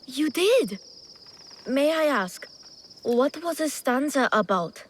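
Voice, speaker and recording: An actor voicing a dutiful, earnest young son of a feudal lord in a video game cutscene. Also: male voice, extremely high pitch, animated, slow pace, slight background noise, heavily acted. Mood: polite, wistful.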